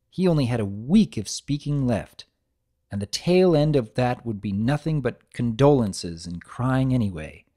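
Man narrating a story with lively pitch. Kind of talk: dramatic fan-fiction story reading.

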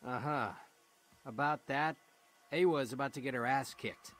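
An actor playing a casual, wisecracking soldier in a borrowed alien body. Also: male voice, mid-range voice, moderate pace, fairly bright tone, soft background music, natural voice. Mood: wry.

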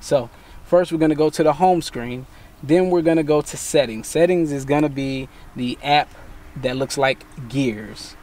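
A man's voice: on the high side, darkish tone. A man in his thirties hosting a tech channel, patiently walking viewers step by step through a fix while sitting in a parked car. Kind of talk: casual how-to walkthrough. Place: inside a parked car, daytime.

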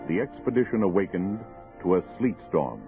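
A solemn American narrator of a frontier radio saga. His voice is low and formal, speaking scripted lines. The sound is a narrow, muffled old broadcast with music. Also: sing-song, normal speed, creaky, full character voice. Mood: solemn, dramatic. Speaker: narrator, announcer.

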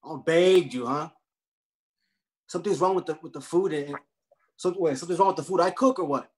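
Guy playing slangy young cook, blunt and streetwise, slightly high voice, drama read. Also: brisk, a little mellow, slight background noise, lightly acted. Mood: annoyed. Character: indignant, streetwise young taco cook, hurt and defensive. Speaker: actor in a table read. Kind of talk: casual dramatic table read. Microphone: video call.

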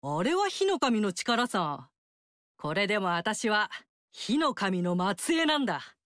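Bold teasing fire-goddess warrior lady, big-sister swagger, bouncy pitch, dry studio sound. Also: very animated, slow pace, a bit bright, no room sound. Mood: warm. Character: brash motherly fire-goddess warrior woman. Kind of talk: studio game dialogue.

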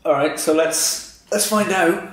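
Higher-voiced man in very echoey room. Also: a little slow. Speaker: podcast host, interviewer. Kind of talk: casual chat.

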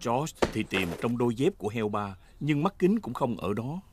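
Animated male cartoon narrator over music. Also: medium pitch, normal speed. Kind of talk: scripted children's cartoon narration.

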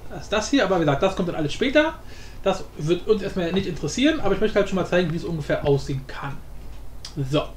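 High-pitched man, lively casual tutorial host. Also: quick pace. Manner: informal, improvised, thinking aloud. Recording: roomy echo, faint background noise.

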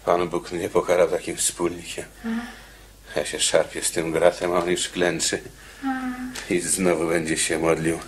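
An actor in a radio play voicing an irritable, bossy condemned convict, grumbling and repeating himself in exasperation. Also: male voice, deep voice, completely flat delivery, crowd noise. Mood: exasperated, bitter. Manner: exasperated, grumbling, halting repetitions. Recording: noisy radio drama recording with clicks.

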